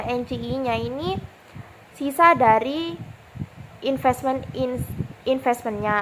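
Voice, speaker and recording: A young woman with a fairly high voice presents like a student walking classmates through an exercise. She speaks informally and haltingly, circling back to correct herself. The recording is clearly noisy. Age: young adult. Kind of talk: explaining accounting exercise in a presentation. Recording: noisy amateur recording with clicks.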